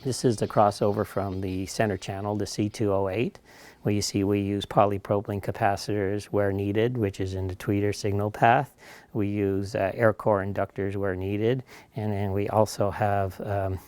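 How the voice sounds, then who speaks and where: A man with a fairly low voice explains technical details as an engineer would. His intonation stays flat and his delivery is halting. The recording is dry and close, with very little room sound. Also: no echo. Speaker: loudspeaker engineer.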